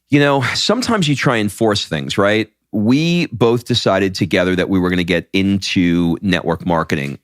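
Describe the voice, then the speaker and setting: Deep-voiced guy cohosting a podcast, storytelling, lots of restarts, dry close mic. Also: no echo. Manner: animated storyteller, frequent restarts. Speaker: podcast co-host. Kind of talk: casual podcast chat.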